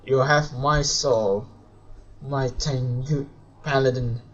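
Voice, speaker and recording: A man who is a non-native English speaker, live streaming a game and reading its dialogue aloud in a halting, stumbling way. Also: mid-range voice, steady tone. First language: not English. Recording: dull lo-fi mic with music behind.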